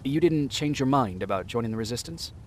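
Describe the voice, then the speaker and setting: An actor playing a terse, reserved resistance soldier in a video game. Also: male voice, mid-range voice, lively intonation, a little fast, lightly acted. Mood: guarded, inquiring.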